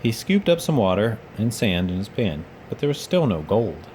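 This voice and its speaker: A man with a fairly low voice reads a children's story aloud. His narration is expressive and paced for young listeners. A faint noise sits behind him, as in a home recording. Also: moderate pace. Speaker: storybook reader, children's read-aloud host. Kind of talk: expressive bedtime storybook reading.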